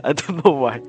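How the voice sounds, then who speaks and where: A man's voice: a little high, darkish tone. A man livestreaming a game in casual chat, flustered and giggly, often repeating his words. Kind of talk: casual live gameplay chat. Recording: game music clearly behind the voice. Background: video game music.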